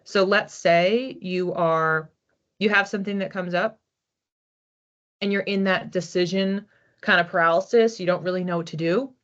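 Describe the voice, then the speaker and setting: Low-voiced woman giving a chatty card reading. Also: steady tone, normal speed. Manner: chatty, thinking aloud with restarts and soft laughs. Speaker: psychic card reader. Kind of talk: relaxed solo oracle reading. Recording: slightly dull lo-fi audio.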